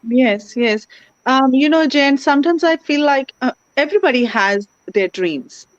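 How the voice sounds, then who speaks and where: A woman talks as a guest in an online interview. She speaks earnestly and gratefully, filling pauses with "you know." The call audio is dry and dull, with a lo-fi sound. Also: high-pitched, expressive, no echo. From India. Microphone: video call.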